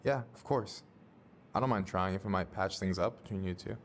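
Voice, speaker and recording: An actor playing a calm, supportive young American man in a video game, talking gently and reassuringly. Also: low voice, fairly quick, slight background noise, natural voice. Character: calm, supportive young male best friend. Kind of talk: naturalistic video game acting.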